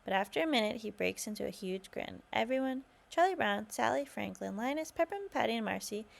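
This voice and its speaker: Woman, children's read-aloud narrator, scripted storybook delivery, very creaky voice. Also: on the high side, animated, brisk.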